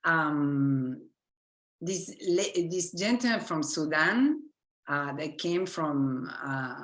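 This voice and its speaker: A woman with an Argentine Spanish accent gives a lecture in a fairly low voice. She speaks earnestly and keeps restarting her sentences while she hunts for the right word. The room is echoey. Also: a bit bright. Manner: earnest, searching for words, frequent restarts. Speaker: physician lecturer. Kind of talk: lecture presentation.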